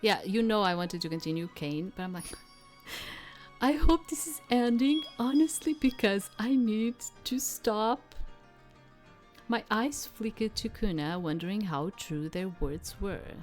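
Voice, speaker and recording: A woman streaming a game, reading visual novel narration aloud in a measured, dramatic way with casual asides. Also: mid-range voice, lively intonation. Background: soft game music.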